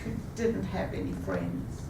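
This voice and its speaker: Woman, fifties, rehearsed personal story, unhurried, recorded far off in a roomy hall with audible background noise. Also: rumbling low, huge pitch swings, gravelly. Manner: measured, deliberate delivery. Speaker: speaker. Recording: echoey room, taken from a distance.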